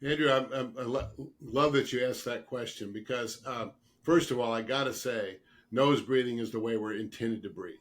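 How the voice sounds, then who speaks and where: A man speaks as a guest expert in an interview, explaining things earnestly. His mid-pitched voice is slightly rough as he gets over a cold, and he repeats words for emphasis. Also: moderate pace, hardly any pauses. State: getting over a cold, throat clearing and coughing. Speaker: guest expert, dentist specializing in dental sleep medicine.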